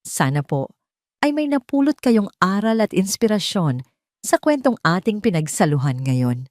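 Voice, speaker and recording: A woman with a Filipino accent narrating a sentimental scripted story in a measured, earnest way. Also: medium pitch, sing-song, darkish tone, no room sound, clean studio sound. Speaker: narrator and host of an audio story channel.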